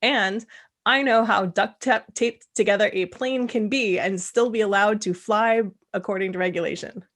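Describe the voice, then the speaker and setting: A woman hosting a casual interview, talking in a chatty way with quick asides. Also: mid-range voice, fairly even intonation. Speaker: host. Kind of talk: casual video-call chat.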